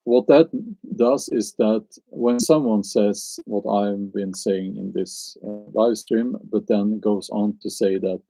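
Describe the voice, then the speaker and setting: A man's voice: on the low side, gravelly, dark tone, no room sound, low-quality recording. A man with a Norwegian accent, chatting on a live stream and answering his viewers, hesitant and musing as he searches for words. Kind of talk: casual live stream chat.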